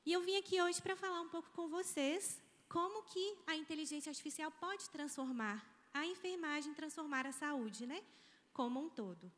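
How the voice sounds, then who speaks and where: A woman's voice: high voice, normal speed, fairly bright tone. A woman in her thirties from Minas Gerais with a Mineiro accent, giving a chatty, informal conference keynote on a handheld mic in a large auditorium. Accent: Mineiro Brazilian Portuguese. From Brazil, Minas Gerais. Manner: chatty, informal, with frequent tag questions. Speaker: guest speaker, nursing professor. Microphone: handheld microphone. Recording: hall reverb.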